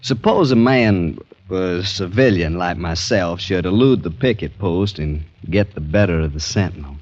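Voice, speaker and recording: An actor playing a courteous, quietly scheming Southern gentleman in an old radio drama, measured and probing in manner. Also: sits low. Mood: courteous, curious. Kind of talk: 1940s-50s radio acting. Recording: dull vintage radio recording.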